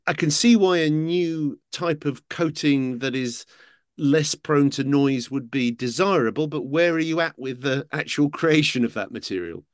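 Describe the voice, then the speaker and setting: A man hosting an interview, asking brisk questions with light humor. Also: fairly high pitch. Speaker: interviewer, host.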